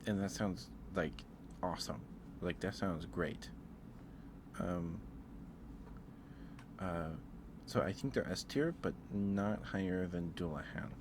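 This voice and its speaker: Low slow guy rambling on an asmr stream, kinda hesitant, repeats himself, noisy background. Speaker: live streamer. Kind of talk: ASMR, casual rambling chat.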